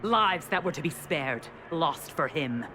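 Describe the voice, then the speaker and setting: A zealous, cold Qunari commander from a fantasy game, in a notably high male voice. The delivery is formal, declamatory and contemptuous. There is clear noise behind the words. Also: lively intonation, measured pace, bright, forceful tone. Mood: cold, menacing. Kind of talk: dramatic video game acting.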